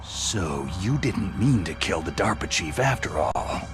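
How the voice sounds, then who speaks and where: An actor playing a hardened, gruff male soldier in a video game cutscene, speaking in a clipped, intense way. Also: sits low, sing-song, a little slow, over music. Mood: angry.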